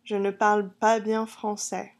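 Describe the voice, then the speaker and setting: A woman teaching a language lesson, saying each short phrase slowly and carefully, then repeating it several times for the listener. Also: medium pitch, completely flat delivery. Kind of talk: phrase-drill lesson narration.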